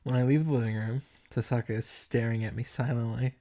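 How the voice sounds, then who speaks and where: A woman giving a casual, expressive recap of a video game, reading out text and reacting with exasperation and swearing. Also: rumbling low, brisk.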